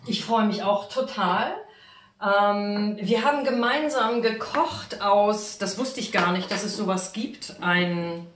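A woman's voice: medium pitch, slow pace. A middle-aged woman hosting a cooking show, chatting casually with a guest in an exuberant, effusive way. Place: small home kitchen, indoors. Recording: roomy kitchen echo, light background noise.

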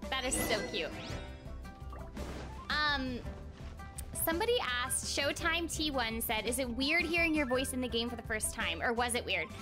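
High-voiced bubbly young woman streaming. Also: animated, moderate pace, long pauses. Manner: bubbly, chatty, informal. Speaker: game streamer and voice actress. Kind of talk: casual livestream chat over gameplay. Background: game music.

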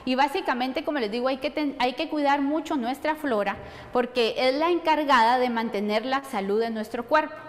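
A woman's voice: high-pitched, normal speed. A middle-aged woman explaining things as a nutrition expert answering questions. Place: studio set. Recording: long hall reverb.

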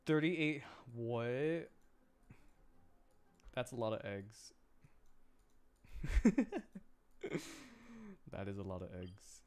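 A man's voice: medium pitch, sing-song, drawn-out words, pauses often, darkish tone, smooth. A man chatting casually on a game livestream, rambling and often repeating his words as he reacts to viewers. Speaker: game streamer.

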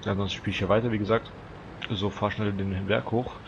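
A man's voice: lowish voice, a little fast, creaky, highs cut off, noisy background. A teenage boy with a stuffy nose from a cold, chatting casually as he comments on his own gameplay for viewers. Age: teens. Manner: chatty, relaxed, talks to viewers. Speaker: gaming channel host.

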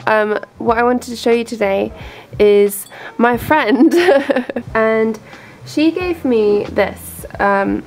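Slightly croaky, creaky-voiced woman chatting casually. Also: medium pitch. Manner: rambling, informal, hesitant. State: slightly croaky from a lingering cold. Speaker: host of a painting channel. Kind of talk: casual chat and art tutorial. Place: indoors at home, at a desk.

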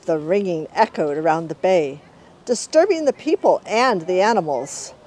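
Woman, sixties, American accent, author, storybook read-aloud, medium pitch. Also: lively intonation, moderate pace, darkish tone. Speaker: author reading her picture book aloud. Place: outdoor walled courtyard in daylight.

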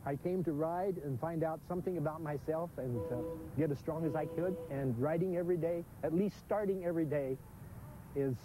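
A man's voice: on the high side, brisk. An eighty-year-old man, a cyclist, talking cheerfully with chuckles in an outdoor interview on a lapel mic. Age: 80s. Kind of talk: casual interview remarks. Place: outdoors on the road. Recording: noisy outdoor field recording.

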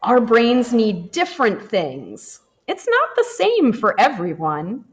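A woman, a teacher, reading a children's picture book aloud in a gentle, expressive manner. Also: a little high. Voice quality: expressive and animated, slow and gentle. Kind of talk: children's storybook read-aloud. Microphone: camera over the book, hands turning pages.

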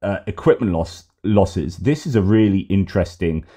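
Middle-aged guy on a headset at home, deep mellow voice, bit hoarse, lots of ums and restarts. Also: lively intonation, moderate pace. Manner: rambling, conversational, many fillers and restarts. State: slightly losing his voice. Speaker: host. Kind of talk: casual commentary on news posts.

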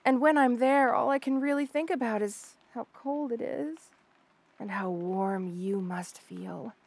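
A woman plays an obsessive monster girl, half snake, in an audio roleplay. Her voice is on the high side and recorded close and dry. She gushes hesitantly, shy yet unsettling, stammering coyly as she confides. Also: a little slow, creaky, darkish tone, no room sound. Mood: longing, tender. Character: obsessive lovestruck female rattlesnake lamia, shy yet unsettling. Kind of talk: intimate audio roleplay monologue.

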